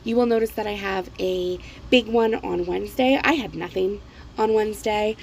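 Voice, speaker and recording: A woman in her thirties with a croaky voice, recovering from laryngitis, chatting casually in a rambling, self-deprecating voiceover at home. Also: medium pitch, normal speed, darkish tone, slight background noise, rough recording. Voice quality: croaky, gravelly voice. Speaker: planner vlogger. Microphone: voiceover mic.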